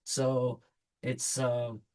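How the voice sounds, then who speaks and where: A man in his late thirties who grew up in China, speaking earnestly in a casual interview and pausing to restart his sentences. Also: medium pitch, monotone, darkish tone. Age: 30s. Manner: earnest, reflective, halting restarts. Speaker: band member.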